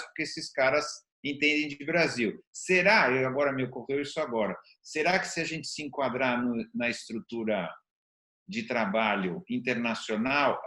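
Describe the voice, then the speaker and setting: A Brazilian man interviewing conversationally, thinking aloud in a halting, reflective manner. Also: medium pitch, bright, forceful tone. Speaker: interviewer with an advertising background. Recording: roomy, echoing sound.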